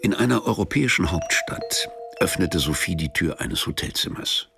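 A man narrating a literary radio crime drama in a measured, deadpan manner, as a cool, sardonic storyteller. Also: low voice, gravelly. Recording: music under the voice.

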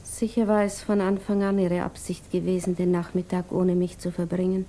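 Actress as soft-toned, wistful provincial widow. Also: female voice, lowish voice, little pitch movement, normal speed, natural voice. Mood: wistful, hurt. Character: shy, sensitive provincial young widow, wistful and easily hurt. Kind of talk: German radio play acting.

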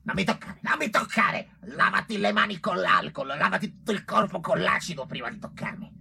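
A man's voice: high-pitched, animated, brisk, gravelly, bright, forceful tone, full character voice. An actor playing a vain, cowardly young male doctor in a theatrical radio play, blustering, repetitive and frantic. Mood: disgusted outrage.

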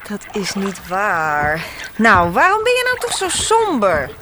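Woman acting a breezy traveller sister, Dutch accent, animated, noisy background. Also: mid-range voice, slow. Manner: breezy and teasing, with frequent laughs. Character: adult sister, cheerful world traveller. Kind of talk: radio drama acting.

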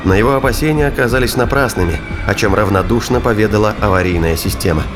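A man's voice: on the low side, fast, over music. A man reading scripted dramatic narration for a science fiction thriller audio play.

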